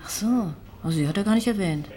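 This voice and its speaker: A woman's voice: mid-range voice, animated, a little fast, warm tone, lightly acted. An actress playing a polite, probing police detective in a drama, tactful and a little hesitant in her questions. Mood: curious, probing.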